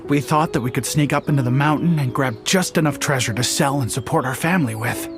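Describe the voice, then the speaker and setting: A man's voice: medium pitch, fairly quick, natural voice. A man voicing a sheepish, repentant poor treasure hunter in a video game, speaking earnestly. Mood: contrite. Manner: contrite, earnest. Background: game music.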